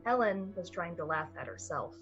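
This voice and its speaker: A woman with a breathy voice delivering scripted, dramatic narration for a suspense radio play. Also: on the low side, expressive, normal speed, a bit bright, muffled recording, faint music behind. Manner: dramatic, literary storytelling.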